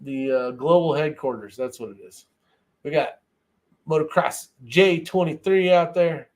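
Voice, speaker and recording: Casual male host, slightly higher-pitched voice. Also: a little slow, darkish tone. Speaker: host of a shooting live chat show. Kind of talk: casual live-stream chat, greeting viewers.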